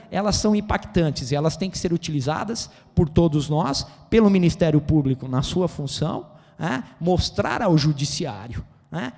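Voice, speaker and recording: A Brazilian man with a Brazilian Portuguese accent giving a seminar lecture, animated and excitable, tagging his points with constant questions to the audience. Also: sits high, gravelly. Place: auditorium. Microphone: handheld microphone. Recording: reverberant auditorium.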